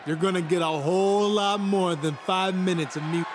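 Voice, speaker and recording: Guy acting cocky defiant wrestling champ promo, game cutscene, high voice, slight noise behind. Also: fairly even intonation, normal speed, a faint crowd, close to an everyday voice. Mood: proud, defiant. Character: proud, defiant young male wrestling champion giving speech. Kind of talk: scripted promo speech in a video game.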